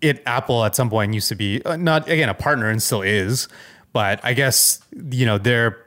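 Halting, conversational man, medium-pitched voice. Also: a bit bright. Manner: halting, conversational, frequent check-in questions. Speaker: podcast co-host. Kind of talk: casual chat.

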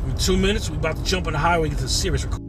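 A man in his forties with a deep voice hosts a casual solo podcast from his car, rambling conversationally and going off on tangents. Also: racing, over loud music. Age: 40s. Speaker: podcast host. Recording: car noise in the background. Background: car and road noise.

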